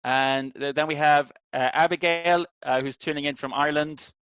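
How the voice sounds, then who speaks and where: A man's voice: fairly high pitch, fairly even intonation, normal speed, fairly bright tone, clean tone, dry recording. A middle-aged man from Sweden informally hosting an online group meeting, searching for his words as he welcomes and introduces people on a video call. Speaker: host of an online community meeting. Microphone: video call microphone. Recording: dull, lo-fi video call audio.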